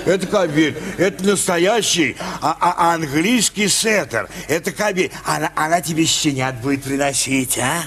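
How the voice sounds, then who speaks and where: A man's voice: a little high, normal speed, hardly any pauses, fairly bright tone, husky. An actor playing a drunken, sentimental, insistent man in an audio drama, stammering and repeating himself as he coaxes.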